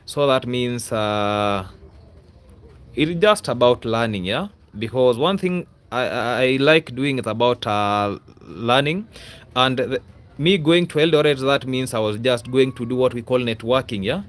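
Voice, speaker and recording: A man's voice: mid-range voice, unhurried, fairly bright tone. A man with a Kenyan accent hosting a casual livestream, rambling and repeating himself, often adding a questioning "yeah?" to his sentences. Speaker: YouTuber, livestream host. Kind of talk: casual livestream chat to viewers.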